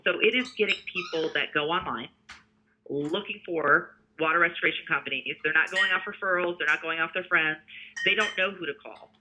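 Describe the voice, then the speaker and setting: A male sales representative speaks over a narrow, tinny phone connection. His voice sits a little higher than most men's. He talks in a conversational pitch style, explaining as he goes. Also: slight background noise. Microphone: telephone.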